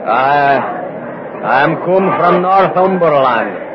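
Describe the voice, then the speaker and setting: An actor playing a stubborn, wary peasant farmer from Northumberland in a radio drama, terse and cagey, with archaic rustic phrasing. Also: male voice, a little high, animated, slow, a crowd in the background. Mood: guarded. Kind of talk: 1950s radio drama acting. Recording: dull, noisy vintage radio recording.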